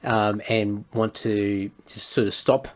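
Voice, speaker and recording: A man in his thirties, a podcast guest, talking in a thoughtful, measured way. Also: on the low side, flat delivery. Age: 30s. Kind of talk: casual conversation.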